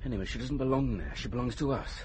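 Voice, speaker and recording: A man's voice: mid-range voice, fast, a little mellow, a little hiss or hum, natural voice. An actor playing a secretive, emotional young man with a tender, obsessive streak, in radio drama style.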